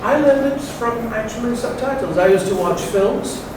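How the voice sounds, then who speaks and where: A man in the audience of a conference workshop, speaking emphatically and filling pauses with "basically" and "you know". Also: very high voice, expressive, background noise. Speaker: audience member, lecturer. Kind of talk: spontaneous comment. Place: conference room.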